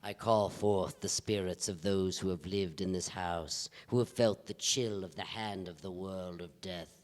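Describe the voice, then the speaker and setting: A man's voice: low-pitched, fairly even intonation, unhurried. Man acting grandiose stage psychic, solemn, portentous, formal diction, live play reading, reverberant theatre. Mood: solemn, incantatory. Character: solemn, grandiose self-styled psychic medium named Joe. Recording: reverberant theatre hall recording.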